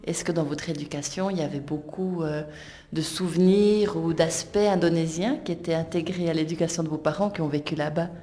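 Female interviewer-presenter. Lowish pitch, dark soft timbre, scripted links and questions. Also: a little fast.

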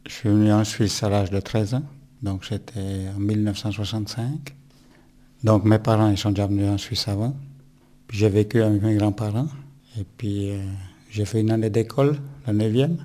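A man in his sixties, born in Italy, answering interview questions in a plain, halting way with many fillers. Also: fairly low pitch, fairly even intonation, dark tone.

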